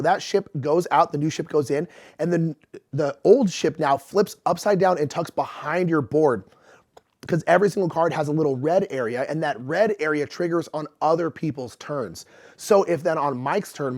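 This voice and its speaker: A man in his thirties hosting a board game channel, talking casually to camera in an indoor room, his voice a little rough as he gets over being sick. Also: on the high side. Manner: chatty and animated, gesturing with hands. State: recovering from illness, voice a bit rough. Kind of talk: casual explanatory talk to camera.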